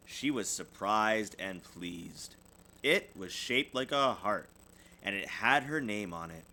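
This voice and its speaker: A man's voice: lowish voice, sharp tone, slight background noise, low-quality recording. A man reading a children's storybook aloud as a parent would, expressively and with small stumbles. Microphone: USB desktop microphone.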